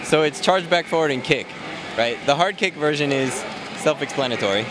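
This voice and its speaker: A man casually walking through a game character's moves, explaining in a relaxed way with many fillers, recorded at a noisy event. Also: a little high, fairly quick. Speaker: game developer demoing a character. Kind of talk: casual gameplay walkthrough. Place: convention floor, noisy.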